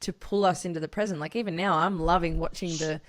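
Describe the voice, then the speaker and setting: A woman's voice: fairly low pitch, steady tone, quick pace. A woman hosting an interview over a video call, talking in an effusive, gushing way and leaning on "you know" and "like.". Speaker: podcast host and interviewer. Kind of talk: warm, enthusiastic interview chat.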